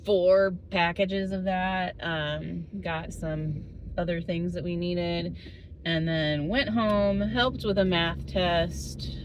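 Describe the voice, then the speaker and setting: A woman's voice: lowish voice, slow. A middle-aged woman chatting informally to camera as a family vlogger, in a casual, talkative way. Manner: informal, chatty, laughs often. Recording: steady car and road noise.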